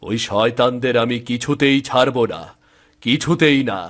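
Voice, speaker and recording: An honest, wronged farmer from a cartoon , played by a man, back from death to seek justice. His mid-pitched voice is earnest and dramatic. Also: moderate pace, a bit bright, lightly acted. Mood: vengeful resolve. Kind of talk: Bengali cartoon story acting.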